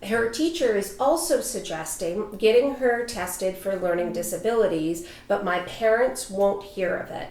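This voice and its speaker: A woman's voice: mid-range voice, a little slow, hardly any pauses, a little mellow. A woman hosting a talk show, welcoming her panel with a polished, inviting delivery. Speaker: host and life coach. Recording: echoey room.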